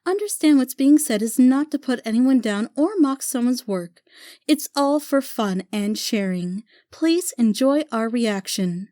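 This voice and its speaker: Woman reading out a disclaimer, mellow highish voice, close and dry. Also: expressive, measured pace, no echo. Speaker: announcer reading a content disclaimer. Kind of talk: scripted announcement.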